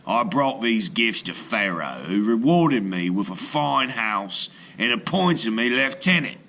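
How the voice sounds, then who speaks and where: A man reading scripted narration like a teacher, measured and explanatory, for young listeners. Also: lowish voice, big pitch swings, pushed and bright. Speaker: storyteller and teacher. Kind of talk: scripted read-aloud narration.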